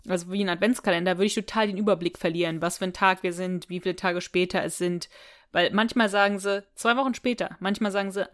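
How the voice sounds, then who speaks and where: A woman co-hosting a podcast, casually discussing an audio drama in long, looping explanations. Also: medium pitch. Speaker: podcast co-host.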